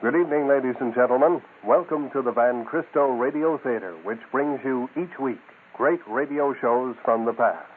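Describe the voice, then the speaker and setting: A man's voice: medium pitch, moderate pace, few pauses, a little hiss or hum. Male radio host, tinny sound. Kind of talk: scripted announcing. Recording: narrow-band, tinny broadcast.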